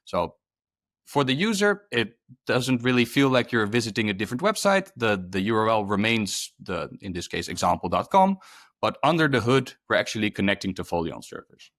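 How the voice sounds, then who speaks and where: A man's voice: lowish voice, sing-song, normal speed, fairly bright tone, no room sound, clean studio sound. A man giving an unscripted webinar explanation as a product specialist, feeling his way through with repeated words.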